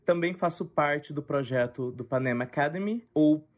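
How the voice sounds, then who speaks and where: A man's voice: on the high side, moderate pace. A man from Rondônia with a Brazilian accent, introducing himself plainly as a podcast co-host. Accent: Brazilian Portuguese.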